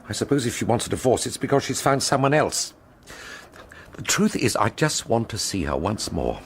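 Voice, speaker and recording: A man's voice: medium pitch, big pitch swings, moderate pace, gravelly, slight background noise. An actor playing a rueful, sardonic, boozy painter who pines for his wife, in a radio drama. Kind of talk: radio drama acting with first-person narration.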